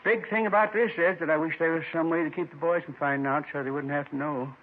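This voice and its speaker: An actor playing a weary, meek man in his seventies under arrest, halting and wistful, in a 1950s radio drama. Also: fairly high pitch, fairly even intonation, lightly acted. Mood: worried, regretful. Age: 70s. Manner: halting, plain-spoken, wistful. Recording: muffled 1950s radio recording.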